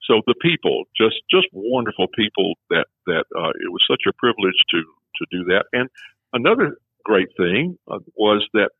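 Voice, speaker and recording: Low husky-voiced man for a rambling anecdote, phone-quality audio. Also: very animated, normal speed, no room sound. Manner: rambling, many restarts, chuckles at his own stories. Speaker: former film festival executive director. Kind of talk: casual conversation. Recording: tinny phone-like sound.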